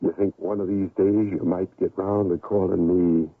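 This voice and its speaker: Casting: smitten older prospector, American, low rough voice, folksy drawl, easygoing; tinny vintage radio drama. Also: male voice, little pitch movement, moderate pace, noisy background. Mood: tender, hopeful. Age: middle-aged. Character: easygoing, smitten older gold miner husband. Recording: narrow-band old radio recording.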